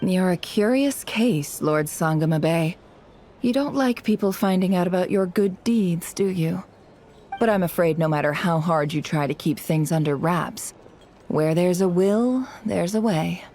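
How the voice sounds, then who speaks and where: Game spymaster: composed, shrewd, soft dark tone, faint music behind. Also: female voice, lowish voice. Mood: gently teasing. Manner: measured, polite, knowing. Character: composed, shrewd woman spymaster, politely calculating. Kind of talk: video game cutscene acting.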